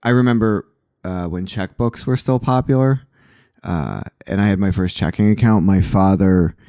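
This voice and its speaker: A man with a very deep voice hosts a podcast, speaking candidly and with a dry sense of humor. His tone is dark and mellow, and the sound is dry and close. He leans on "uh" fillers as he speaks. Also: normal speed, dry recording. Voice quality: low voice. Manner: candid, joking, frequent "uh" fillers. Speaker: podcast host, sober living owner. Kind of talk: casual chat. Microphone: poor microphone, hard to hear.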